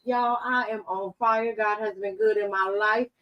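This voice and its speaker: A woman's voice: mid-range voice, fairly even intonation, moderate pace, distant mic, highs cut off. A woman panelist giving faith-based personal testimony in emphatic, repetitive phrases.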